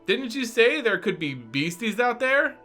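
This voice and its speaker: A man's voice: very high-pitched, very animated, a little slow, bright, forceful tone, faint music behind, voice pushed up, heavily acted. A man voicing a bold, impatient young princess in a storybook reading, breezy and teasing.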